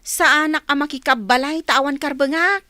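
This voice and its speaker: Feisty young ilocano ranch girl, high bright voice, radio drama. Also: brisk, no room sound, studio quality. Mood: defiant.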